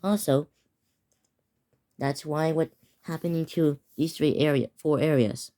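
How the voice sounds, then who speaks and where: A man's voice: fairly high pitch, steady tone, measured pace, dark tone. A teenage boy improvising a mock emergency broadcast, often stumbling and restarting his words. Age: teens. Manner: stumbling, restarting words while reading out an alert.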